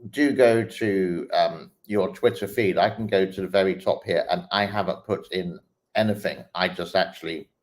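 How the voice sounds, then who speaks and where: A man hosting his channel, talking through social media clips in a slow, hesitant way with many pauses and fillers. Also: deep voice. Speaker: host reacting to online clips. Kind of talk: casual commentary while scrolling a feed.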